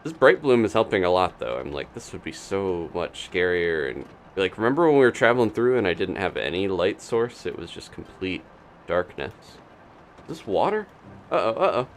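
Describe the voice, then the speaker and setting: A man's voice: sits low, a little hiss or hum. A man giving casual live gameplay commentary, chatting and thinking aloud in unfinished asides. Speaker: video game streamer.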